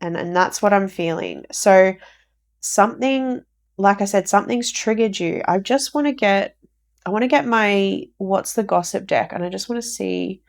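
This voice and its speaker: A woman giving a casual tarot reading for viewers, croaky from a recent flu, rambling and repeating herself. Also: medium pitch, normal speed. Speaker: tarot reader.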